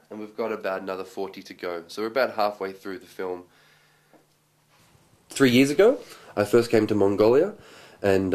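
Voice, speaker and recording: A twenty-seven-year-old Australian man explaining his documentary work in a relaxed, thoughtful way, pausing with ums and restarting sentences. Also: deep voice. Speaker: documentary filmmaker. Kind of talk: casual explanatory talk to camera.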